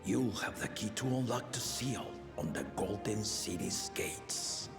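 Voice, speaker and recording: An actor playing an aging, benevolent king in a video game, speaking in a measured, regal, solemn manner. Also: lowish voice, gravelly. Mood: solemn, commanding. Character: aging benevolent king and father, stately, fond of contests. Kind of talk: scripted video game voice acting. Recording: echoing hall reverb under game music.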